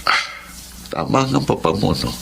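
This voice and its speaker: A man's voice: low-pitched, big pitch swings, normal speed, vocal fry, full character voice. An actor with a Filipino accent playing a vain, condescending businessman husband in a radio drama, smug and menacing, over a noisy background. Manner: smug, menacing, code-switches into English.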